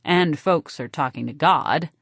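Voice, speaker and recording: A woman narrating a first-person novel for an audiobook with a wry, deadpan delivery. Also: low-pitched, a little slow, vocal fry, breathy edge, no echo, studio quality.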